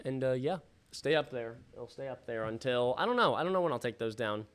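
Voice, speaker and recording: A man hosting a live fantasy football stream in a casual, slangy, sweary way, thinking out loud, his voice starting to give out. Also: mid-range voice, lively intonation, fairly quick. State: losing his voice. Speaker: livestream host. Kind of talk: casual live fantasy football draft commentary with chat shout-outs.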